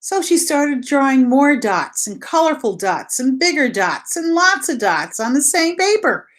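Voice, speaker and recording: A woman's voice: high voice, very animated, a little slow, a bit bright. A woman teacher leading a read-aloud lesson for young children, explaining simply with repetition and posing questions she answers herself.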